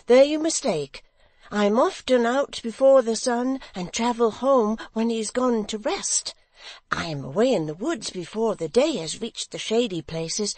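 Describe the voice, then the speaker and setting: A woman's voice: medium pitch, darkish tone, no echo, pitched above the performer's own voice. A woman voicing an eager, simple-minded young man who talks in a breathless, wondering and pleading way.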